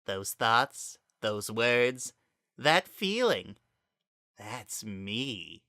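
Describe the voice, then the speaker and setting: A narrator voicing a menacing, fervent child spirit that wears a monster's skin, speaking in a slow, theatrical, hollow, coaxing monologue for a dramatic story reading. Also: mid-range voice, big pitch swings, pushed and bright, no room sound, studio quality, heavily acted. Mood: grave, fervent. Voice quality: maddened, hollow tone. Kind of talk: dramatic reading of fan fiction.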